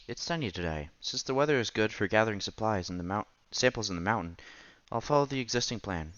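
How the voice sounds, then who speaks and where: A man reading a visual novel aloud as a streamer, with playful, casual asides and quiet game music behind him. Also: on the low side, animated, quick pace. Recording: slightly dull, faint game music behind.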